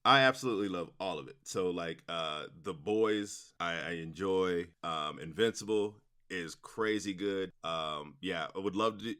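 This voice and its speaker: A man's voice: sits low, a bit bright. A man being interviewed about his audio drama work, answering casually with many fillers and restarts. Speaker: guest, audio drama producer and director.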